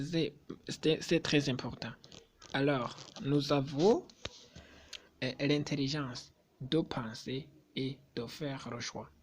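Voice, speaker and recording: Male vlogger, non-native French speaker, slow and hesitant, repeats himself. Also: fairly high pitch. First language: not French. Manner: slow, deliberate, repetitive, with hesitations. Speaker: YouTuber. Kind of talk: vlog address to subscribers.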